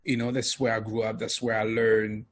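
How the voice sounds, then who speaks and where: A man's voice: fairly low pitch, little pitch movement, normal speed. A middle-aged man, a guest talking about his life in a casual interview, hesitant with many fillers. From France.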